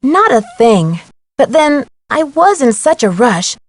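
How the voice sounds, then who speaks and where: Bright-eyed young female detective. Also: high-pitched, sing-song, slow pace, dry recording, full character voice. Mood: casual. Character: curious, plucky young female amateur detective. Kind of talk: video game voice acting.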